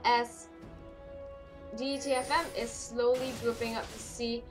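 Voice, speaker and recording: A woman doing solo live play-by-play of a game, hoarse and losing her voice, with quick calls that climb in the fights. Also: flat delivery. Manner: rapid play-by-play that jumps higher in fights. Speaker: esports caster. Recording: clear music behind the voice.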